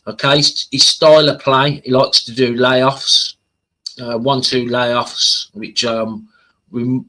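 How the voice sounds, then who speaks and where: A man with a bright, forward voice hosts a casual football livestream. He talks through player stats conversationally, hesitating and repeating himself as he goes. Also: medium pitch, little pitch movement, slow, rough recording. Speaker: football fan channel livestream host.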